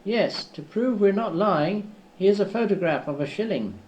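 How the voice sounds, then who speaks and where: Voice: medium pitch, lively intonation, moderate pace, a little mellow, close to an everyday voice, rough recording. An amateur performer voicing a shifty, mock-polite begging politician in a comic radio-play reading, on old cassette tape. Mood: sly, persuading. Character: shifty, sly begging politician and would-be train robber.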